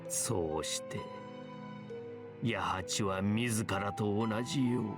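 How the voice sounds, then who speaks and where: A man acts out a grave young student president spinning a ghost tale in an old storyteller's manner. The low voice moves in measured, shifting cadences that trail away, in dramatic visual novel style over clear background music. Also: close to an everyday voice. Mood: grave, eerie.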